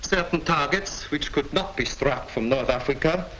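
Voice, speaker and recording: A man acting in a 1940s film as a shrewd German officer interrogating prisoners, with a smooth, courteous and condescending manner. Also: a little high, moderate pace, close to an everyday voice. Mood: shrewd, explaining. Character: suave, shrewd German major interrogating captured airmen. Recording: noisy 1940s film soundtrack.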